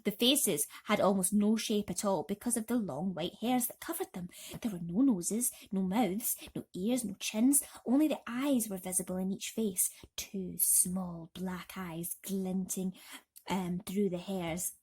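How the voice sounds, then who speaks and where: A woman narrating a bedtime storybook expressively and dramatically, slowing down for suspense. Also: mid-range voice, a little mellow, husky. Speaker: narrator reading bedtime stories.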